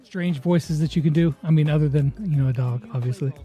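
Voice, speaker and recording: Male interview host, notably high voice, casual conversational questioning. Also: a little fast, warm tone, soft background music.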